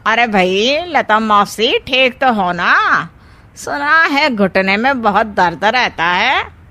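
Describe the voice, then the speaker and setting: A woman's voice: a little high, sing-song, slow pace, sharp tone, full character voice. A woman voicing a swaggering, falsely chummy village gangster man in a cartoon story, with a boastful, oily manner. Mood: smug, chummy. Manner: boastful, oily, punctuated with chuckles. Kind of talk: cartoon moral-story acting.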